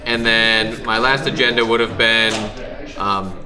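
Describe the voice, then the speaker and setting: A man's voice: on the low side, fairly even intonation, a little slow. A man speaking casually and without a script as a player at a live tabletop roleplaying event. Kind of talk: casual unscripted recap. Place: busy gaming convention. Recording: live event, heavy crowd noise.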